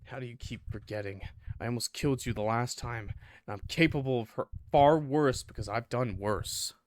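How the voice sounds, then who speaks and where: A man playing a bitter, threatening male killer in a visual novel, speaking in a low, slow way. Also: no room sound, natural voice. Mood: seething threat. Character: menacing, bitter young male killer with a knife.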